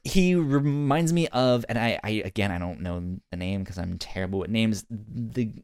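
A man's voice: lowish voice, expressive, normal speed, a little mellow, smooth, no echo, clean studio sound. A man co-hosting a review podcast in casual conversation, rambling and often repeating words as he goes. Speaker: podcast co-host.

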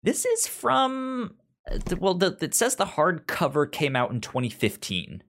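A man's voice: high-pitched, wildly swooping pitch, unhurried, fairly bright tone. A man with a stutter hosting a podcast, talking conversationally and partly reading out summaries. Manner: stutters, repeating sounds and words. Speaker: podcast host. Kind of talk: conversational pitching of media picks, partly reading synopses.

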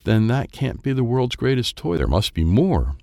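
A man voicing an excitable, wistful small boy who narrates a children's storybook in simple, wide-eyed phrases. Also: medium pitch, normal speed, dry recording, clean studio sound, voice pushed up. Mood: eager, wistful. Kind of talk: first-person present-tense children's storybook narration.

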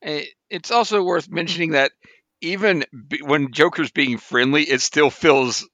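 Bright-voiced man explaining trivia, halting. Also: fairly high pitch, no room sound, dull audio. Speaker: podcast co-host. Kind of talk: casual chat, trivia commentary.

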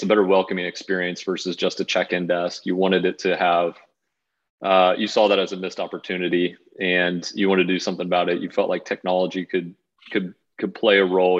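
A man's voice: low-pitched, highs cut off. A man hosting a podcast interview, recapping his guest's story and stacking several questions at once. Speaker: podcast host.

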